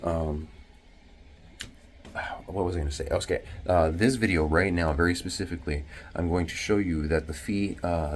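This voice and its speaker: A man with a very deep voice, talking hushed and rambling with many fillers while explaining delivery-driver pay in a casual vlog from a small bathroom at home. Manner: hushed, half-whispering to avoid waking others.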